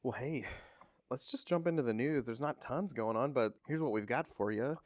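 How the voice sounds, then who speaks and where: Male co-host, thirties, mid-pitched, excited and chatty, dry close mic. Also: moderate pace, no room sound. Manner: enthusiastic, laughs often. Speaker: podcast co-host. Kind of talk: casual pop culture chat.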